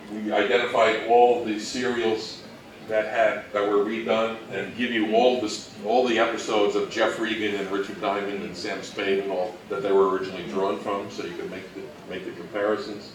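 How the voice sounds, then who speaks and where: Low-voiced man presenting in an echoey room. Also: flat delivery, moderate pace, a little hiss or hum. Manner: dry, joking, self-mocking. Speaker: panel presenter. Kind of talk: informal convention presentation with audience questions. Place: indoor event room, recorded from a distance. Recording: distant, echoey room with audience.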